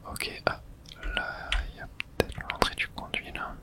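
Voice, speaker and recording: An ASMR gamer speaking entirely in a breathy whisper. The delivery is a murmured running commentary on a game, made of short reactions to the action on screen. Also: normal speed, sharp tone. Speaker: gaming ASMR streamer.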